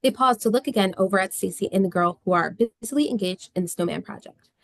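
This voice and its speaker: A woman's voice: medium pitch, fast talker, creaky, no echo. Woman narrating a script, dry sound. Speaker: stage-direction narrator. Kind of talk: scripted narration at a table read.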